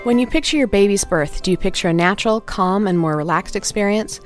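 A woman childbirth educator giving a scripted intro in a calm, soothing way. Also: medium pitch, brisk, over music.

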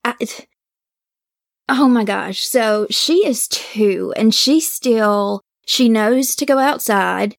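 A woman's voice: on the high side, slow, creaky, dry recording, clean studio sound. A woman from Mississippi with a Southern accent, a podcast guest who giggles and hesitates a lot. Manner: giggly, hesitant, restarts often. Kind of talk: casual interview chat.

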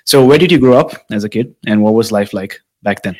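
A man's voice: fairly low pitch, brisk, a little mellow. A man hosting a podcast interview, introducing the episode and asking questions in an earnest, enthusiastic way. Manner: earnest and enthusiastic, with light laughs. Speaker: podcast host.

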